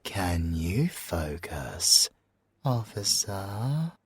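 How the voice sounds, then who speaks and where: Slow, husky, suave British male siren, acted by a man. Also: low voice, big pitch swings, no room sound, full character voice. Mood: seductive, controlling. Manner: slow, drawn-out teasing delivery with whispered phrases. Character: suave, flirtatious, possessive male siren, smugly self-assured. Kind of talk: ASMR audio roleplay.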